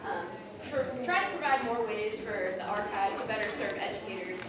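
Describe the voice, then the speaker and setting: A woman giving a halting introductory speech of thanks to an audience. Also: medium pitch, over a noisy crowd. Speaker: event speaker giving thanks. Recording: noisy echoey hall, distant microphone.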